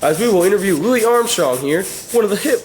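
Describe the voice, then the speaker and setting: Man as higher-pitched, halting 1920s newsman. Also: lively intonation, faint music behind. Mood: presenting. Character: 1920s newsman covering youth crazes and interviewing musicians. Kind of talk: mock 1920s news broadcast, amateur. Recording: clearly noisy amateur recording.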